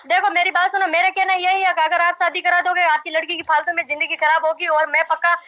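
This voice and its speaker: A woman's voice: extremely high pitch, flat delivery, quick pace, pushed and bright, no echo. A young woman arguing insistently and repeating herself on a recorded phone call. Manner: insistent, repetitive, argumentative. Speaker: caller in a marriage dispute. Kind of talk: heated phone conversation.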